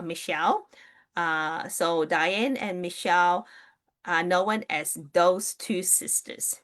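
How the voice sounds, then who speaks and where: Woman, live-stream chat, unhurried delivery, lowish voice, rambling and friendly with viewers. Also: a bit bright. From New Zealand. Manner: chatty, digressive, greets viewers.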